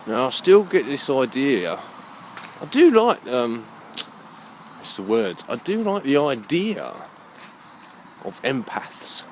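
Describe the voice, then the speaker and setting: A man with a mid-pitched voice thinks aloud as he walks. His delivery is halting and musing, often turning into questions to himself. He is recorded outdoors with clear background noise around him. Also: very animated. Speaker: vlogger. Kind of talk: rambling walk-and-talk monologue. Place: outdoors, walking along a muddy alleyway.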